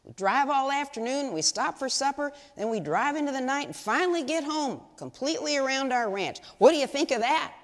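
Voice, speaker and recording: A boastful Texas rancher brags about his land in a drawling put-on accent, as an older woman reads him aloud in a joke. The voice sits higher and louder than her usual talk. A long reverb from the large sanctuary trails each phrase. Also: lively intonation. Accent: put-on Texan. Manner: boastful, drawn-out storytelling.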